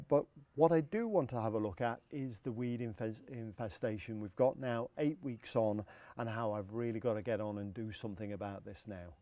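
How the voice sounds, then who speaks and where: A man's voice: medium pitch, mellow, no room sound. A middle-aged man, demonstrating lawn care outdoors in a garden, explaining practically with many fillers.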